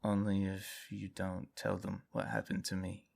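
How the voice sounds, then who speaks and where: Stubborn young soldier type, troubled, low creaky voice, earnest, audio drama. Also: male voice, completely flat delivery, a little slow, darkish tone, full character voice. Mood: insistent. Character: stubborn but protective young male guardsman, troubled. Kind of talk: dramatic audio fiction acting.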